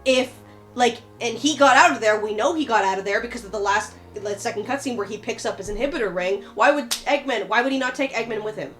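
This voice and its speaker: A woman's voice: on the high side, fast talker, fairly bright tone, faint music behind. A woman co-hosting a podcast in casual fan discussion, talking emphatically and swearing freely. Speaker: podcast co-host.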